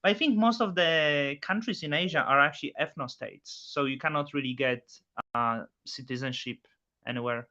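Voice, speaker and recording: A man with a Polish accent hosting a casual chat, speaking haltingly with frequent restarts. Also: a little high, bright, forceful tone. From Poland. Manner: halting, many restarts, non-native phrasing. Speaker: host. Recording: dull lo-fi audio.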